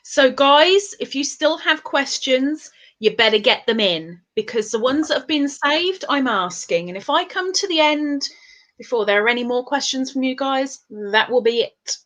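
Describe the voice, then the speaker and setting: Woman host, high and very lively voice, slow delivery, teasing and playful. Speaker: live-stream interview host. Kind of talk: casual live-stream interview.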